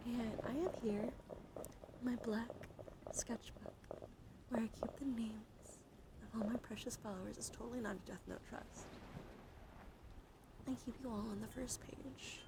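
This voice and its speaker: A woman hosting an ASMR livestream, speaking softly and deliberately, often slipping into whispers and gently repeating phrases. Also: on the high side. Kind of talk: ASMR, slow soft talk to live chat. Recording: clearly audible background noise.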